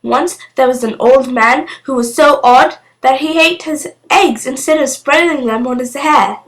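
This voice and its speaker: A woman's voice: sits high, a little slow, rough recording. A woman voicing a cheeky, confident, eccentric young girl from a storybook, cheerful and matter-of-fact. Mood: boastful, cheerful.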